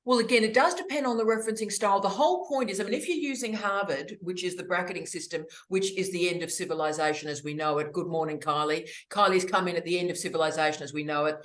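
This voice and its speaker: A woman's voice: fairly low pitch, fast talker, few pauses, fairly bright tone. A woman hosting online academic office hours, witty and opinionated, with theatrical asides, over a video call. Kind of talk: lively, opinionated casual chat. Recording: roomy echo on a video call.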